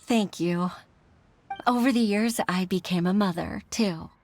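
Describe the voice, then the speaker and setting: A woman's voice: mid-range voice, unhurried, a little hiss or hum, close to an everyday voice. A woman playing a grateful, humble middle-aged mother and secretary in a video game, heartfelt and slightly hesitant. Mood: reflective. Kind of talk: video game character acting.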